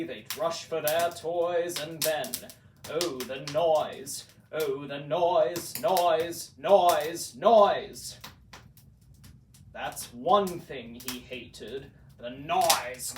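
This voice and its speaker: A man reading a storybook aloud as a theatrical narrator, deliberate and dramatic, in the manner of classic horror actors. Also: fairly high pitch. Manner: deliberate, dramatic, builds repetitions for emphasis. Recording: roomy, distant mic, faint noise.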